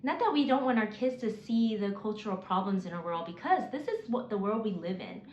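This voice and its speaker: A woman in her forties, raised in Mississippi, working through a prepared list of reasons in an earnest, measured way with occasional restarts. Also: medium pitch, expressive, darkish tone, soft background music. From South Korea, raised in Mississippi. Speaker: homeschooling mom. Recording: roomy, slightly dull, faint hiss.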